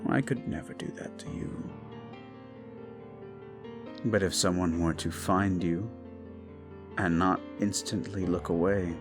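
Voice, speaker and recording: A man voicing an unhinged, paranoid, possessive young prince in an audio drama, speaking softly and sometimes in a menacing whisper. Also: sits low, vocal fry, full character voice. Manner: soft, slow, often whispering, menacing. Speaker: voice actor. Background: background music.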